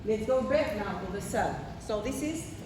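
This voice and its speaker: A woman's voice: medium pitch, expressive, moderate pace. A woman lecturing a class, explaining step by step with fillers, restarts and frequent "okay?" checks, heard from far off in a large hall. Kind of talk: classroom lecture with questions to students. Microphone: far room microphone. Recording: echoey hall from far off, noisy.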